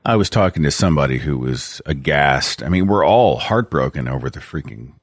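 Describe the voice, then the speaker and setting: A man's voice: a bass voice, lively intonation, brisk, dry recording, clean studio sound. A man with an Oklahoma accent hosting a call-in show, talking in a conversational, emphatic way. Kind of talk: radio-style call-in talk.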